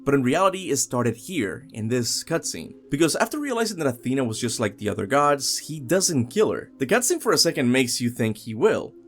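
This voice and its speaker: A man hosting a video essay, narrating a countdown in a casual, opinionated and emphatic way. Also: mid-range voice. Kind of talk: countdown commentary, scripted but casual narration.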